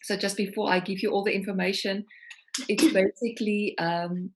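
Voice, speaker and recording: A woman's voice: mid-range voice, fairly even intonation, moderate pace, rough recording. A middle-aged South African woman, a singer-songwriter, talking reflectively over a video call with many ums. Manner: warm, reflective, frequent ums.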